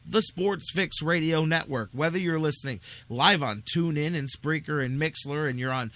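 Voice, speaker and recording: An American man hosting a sports radio show in an energetic, casual, rambling style full of slang. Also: on the high side, moderate pace, dry recording. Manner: informal, rambling, peppered with slangy address. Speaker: sports talk radio host.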